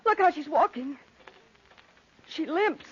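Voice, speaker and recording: Young fiancée: high, fretful, earnest voice, vintage radio melodrama, muffled recording. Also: female voice, expressive, slow. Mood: alarmed. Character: anxious, devoted young bride-to-be. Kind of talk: old-time radio drama acting.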